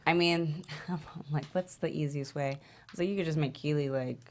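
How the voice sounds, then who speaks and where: A woman giving feedback in a casual workshop discussion, halting and filler-heavy as she thinks aloud. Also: sits low. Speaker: panelist giving script feedback.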